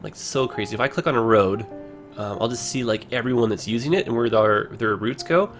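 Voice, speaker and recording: A man casually commenting on a game he plays on a livestream, rambling with many fillers, his voice hoarse as he is losing it. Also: medium pitch, fairly quick, soft background music.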